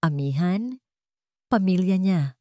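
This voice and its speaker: A woman narrates a dramatic story from a script in a Filipino accent. Her voice sits on the low side for a woman. The recording is close and dry, with little sense of a room around her. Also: lively intonation, takes it slowly, a little mellow, no echo.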